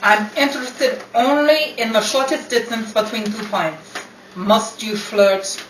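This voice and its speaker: An actress plays a no-nonsense Soviet woman, cool and literal, in a radio romantic comedy. Her voice sits high, a little away from the mic, with noise behind it. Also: a little slow, close to an everyday voice. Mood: curt, severe. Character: stern, coolly literal Soviet woman envoy in Paris. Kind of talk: old-time radio romantic comedy acting. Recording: roomy, distant, noisy audience recording.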